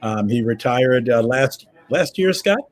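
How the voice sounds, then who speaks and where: A man's voice: mid-range voice, animated, measured pace. A man with a head cold and a froggy voice, hosting a casual travel news chat from home over a video call. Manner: chatty, rambling with fillers. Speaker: host. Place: his home.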